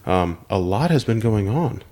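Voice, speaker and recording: A man's voice: lowish voice, sing-song, unhurried, mellow. A man, sounding nasal from allergies, chatting and asking questions as a podcast co-host. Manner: chatty, inquisitive. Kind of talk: casual podcast chat.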